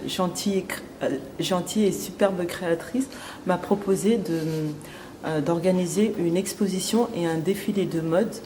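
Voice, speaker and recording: A woman's voice: fairly low pitch, normal speed, mellow, a little hiss or hum. A woman in her thirties from Cameroon, president of an association, speaking hesitantly with many fillers in an interview. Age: 30s. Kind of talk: testimonial interview.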